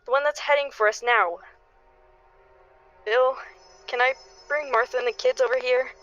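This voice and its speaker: A woman acting a desperate, high-voiced pleading neighbour. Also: fairly even intonation, fairly bright tone, no room sound, dull audio, soft background music. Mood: anxious, bitter. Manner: halting, stammering pleas. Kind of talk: dramatic radio play acting.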